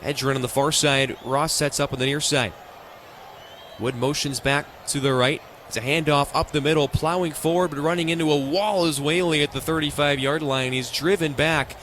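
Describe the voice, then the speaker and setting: A man's voice: a little high, fairly even intonation, normal speed, a bit bright, a little hiss or hum. An American man doing live radio play-by-play of a football game in clipped, present-tense phrases.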